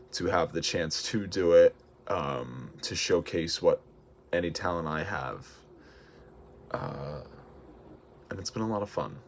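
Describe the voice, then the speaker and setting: A young man with an American accent and a deep, low-pitched speaking voice. He talks casually and informally, in the style of a personal vlog addressed straight to the viewers. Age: early 20s. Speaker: vlogger and amateur musical theater performer. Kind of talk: casual vlog talking to camera.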